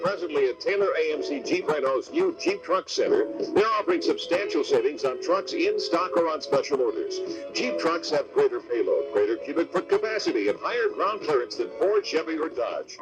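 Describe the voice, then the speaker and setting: A man's voice: on the high side, sing-song, fairly quick, hardly any pauses, loud music behind the voice, low-quality recording. A man working as a radio announcer, delivering scripted narration and promotional copy. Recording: band-limited radio broadcast tape.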